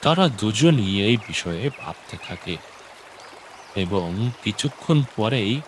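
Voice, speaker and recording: Guy narrating a kids story, real slow, low voice, water noise going behind him. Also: very animated. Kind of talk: scripted children's story narration.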